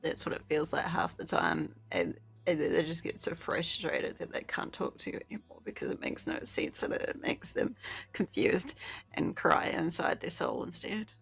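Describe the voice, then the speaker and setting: Gravelly, lively woman with a low voice. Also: normal speed. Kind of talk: casual roleplay chat in character.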